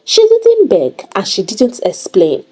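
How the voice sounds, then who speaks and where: A woman narrating a dramatic scripted story in an expressive, deliberate storytelling manner. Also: mid-range voice, slightly rough.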